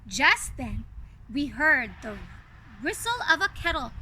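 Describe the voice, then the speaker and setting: A woman reading a storybook in an animated, gushing way, coaxing young listeners with direct asides. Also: high-pitched, slow pace, poor audio. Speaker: children's storyteller, reader in a princess character.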